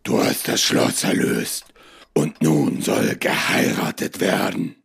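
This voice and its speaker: An ominous castle hotelier in a spooky fairy-tale radio play. The voice is very low, heavily creaking and breathy, with solemn, drawn-out delivery. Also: male voice, heavily acted. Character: sinister slow-speaking male hotel owner of a haunted castle. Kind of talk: fairy-tale audio drama acting.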